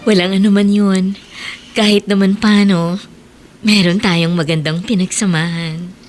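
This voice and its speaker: A Filipino woman acting in a radio drama as a gentle, hesitant former sweetheart. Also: mid-range voice, steady tone, measured pace, soft background music, natural voice. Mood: gentle, wistful.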